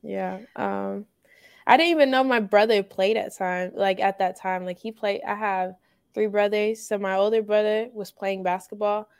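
A young woman from Finland talks through her story with plenty of ums and little laughs. Her voice sits in an even, narrow band, with a gravelly edge under a smooth, resonant tone. The sound is dry and close. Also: mid-range voice, normal speed, dry recording. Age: young adult. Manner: hesitant, many ums, soft laughs. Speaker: college basketball player guest. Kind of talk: casual interview answers.

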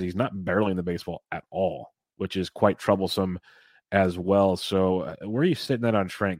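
A man in his thirties with a very deep voice hosts an easygoing sports podcast. He chats loosely and steers the talk with questions to his partner. The sound is dry, close to the mic. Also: darkish tone, no echo. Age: 30s. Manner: easygoing, chatty, leads topics with questions. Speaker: podcast co-host. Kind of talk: casual fantasy baseball chat.

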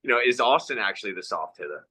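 Low, bright-toned laid-back young man. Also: lively intonation, quick pace, breathy edge, no echo. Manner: easygoing, laid-back storyteller. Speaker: DJ guest. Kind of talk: casual chat.

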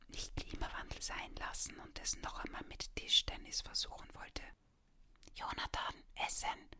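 A narrator reading a youth novel in a breathy, unvoiced whisper, in the style of an audiobook.

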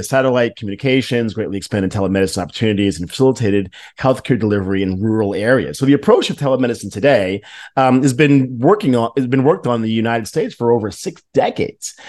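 Guy hosting a podcast, average pitch, kinda reading his notes and explaining stuff. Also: a little mellow. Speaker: podcast host.

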